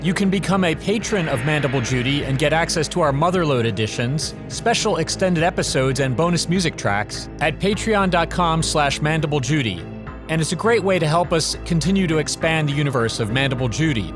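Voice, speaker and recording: Slightly high-voiced man reading podcast credits. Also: lively intonation, brisk. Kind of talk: scripted podcast outro. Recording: music bed under the voice.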